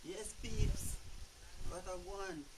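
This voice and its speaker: A man co-hosting a live stream from a backyard, laid-back and hesitant, pausing on fillers. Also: high voice. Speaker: co-host. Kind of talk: casual live stream chat. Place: outdoor backyard. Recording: distant mic, loud frying noise.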